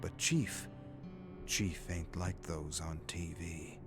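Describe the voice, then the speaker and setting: A man with a low, deep voice delivers dramatic scripted narration. He is grave and deliberate, like a brooding storyteller. Soft music sits underneath his voice. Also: steady tone. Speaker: narrator of game lore readings.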